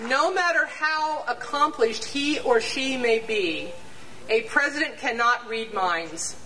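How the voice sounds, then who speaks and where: An older woman reads a prepared statement at an outdoor rally in a measured, deliberate way, now and then restarting a phrase. Her voice sits fairly high and reaches the mic from some distance. A faint crowd murmur and open-air hiss sit behind her. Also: lively intonation. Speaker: faculty speaker reading a statement at a rally. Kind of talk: reading a prepared statement aloud. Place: outdoor campus lawn before a large crowd. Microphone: standing mic on stand, picked up from a distance.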